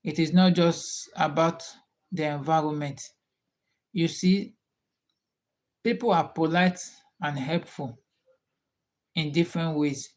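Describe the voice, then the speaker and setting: A man with a Nigerian accent hosts a casual live chat with his viewers. He talks at an unhurried, drawn-out pace. He often repeats his questions while waiting for replies. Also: fairly high pitch, fairly even intonation. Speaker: livestream host.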